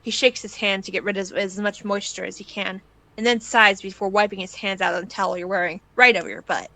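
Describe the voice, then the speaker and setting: A woman's voice: mid-range voice, steady tone, brisk, vocal fry, bright, forceful tone, no room sound, poor audio. A woman reading scripted second-person fan fiction aloud as the narrator.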